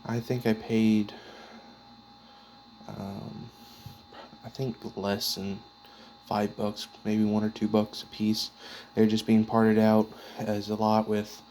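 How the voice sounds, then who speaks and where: A man giving a casual, unscripted show-and-tell of his gear as a hobbyist, speaking in a halting, piecemeal way as he handles items. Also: on the low side, completely flat delivery, dark tone. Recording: clearly noisy background with clicks.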